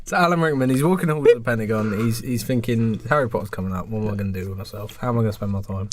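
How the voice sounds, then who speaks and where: A man's voice: fairly low pitch, racing, crowd noise. A man with an English accent chatting casually as a podcast co-host, teasing and steering with questions. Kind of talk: casual joking banter.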